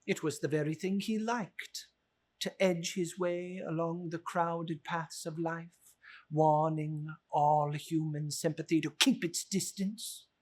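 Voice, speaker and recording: A man giving a dramatic, theatrical reading of a classic novel, slow and emphatic with big swings in pitch.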